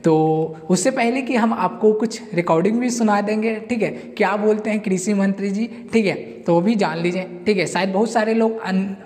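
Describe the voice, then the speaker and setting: A man's voice: high-pitched, moderate pace, few pauses, darkish tone. Guy in his 20s doing an update vid, emphatic, repeats stuff, really echoey room. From India. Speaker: career channel host. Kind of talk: informal news update to camera. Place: indoors in front of a whiteboard. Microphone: clip-on lapel mic. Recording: long hall-like reverb.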